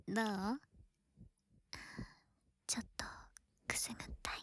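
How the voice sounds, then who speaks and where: A woman playing an affectionate, teasing young girl best friend in an ASMR roleplay, playful and breathy, often slipping into whispers close to the microphone. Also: slow pace, fairly bright tone. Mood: gentle soothing. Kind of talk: Japanese ASMR situation voice drama, close intimate roleplay. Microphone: close ear-to-ear ASMR microphone.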